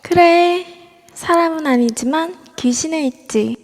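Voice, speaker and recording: A woman's voice: very high voice, unhurried. A woman voicing a haughty young maiden ghost who rules a haunted hotel, taunting and commanding, in an amateur audio drama. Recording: long reverb over a voice app.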